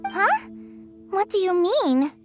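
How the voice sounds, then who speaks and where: Very high girlish voice, sincere young trainee ranger, anime game, music behind. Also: big pitch swings, takes it slowly, heavily acted. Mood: curious, friendly. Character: earnest, bubbly teenage girl trainee ranger, sincere and humble. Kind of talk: anime-style video game voice acting.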